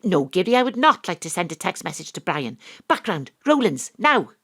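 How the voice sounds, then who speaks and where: A woman's voice: on the low side, big pitch swings, moderate pace, a bit bright, exaggerated voice. A woman with a Welsh accent playing a brash, independent investigator, narrating an audio drama in a dry, blunt manner. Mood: impatient. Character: wry, brash, independent-minded female investigator narrating her case.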